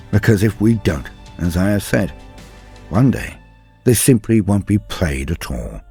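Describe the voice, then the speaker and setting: A man's voice: medium pitch, a little mellow, breathy edge. A British man hosting a hobby channel, narrating and commenting in a theatrical manner with florid, old-fashioned turns of phrase. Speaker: YouTube host of a tabletop wargame lore channel. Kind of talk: dramatic lore narration and opinion commentary.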